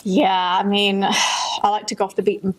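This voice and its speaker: A woman's voice: mid-range voice, flat delivery, moderate pace, poor audio. A woman co-hosting a podcast in casual, unscripted banter, with a wry, teasing way of talking. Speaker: podcast co-host.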